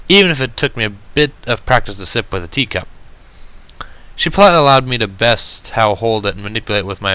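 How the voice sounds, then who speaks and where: Bright-voiced man reading a story. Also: lowish voice, clean tone. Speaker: fan fiction reader. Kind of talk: expressive first-person story narration.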